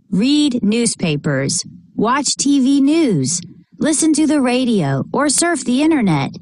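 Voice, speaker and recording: Female storybook reader, high voice with lively swings in pitch, unhurried and deliberate, expressive read-aloud for kids. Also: no room sound. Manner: expressive, deliberate delivery for children. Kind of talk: reading a children's picture book aloud.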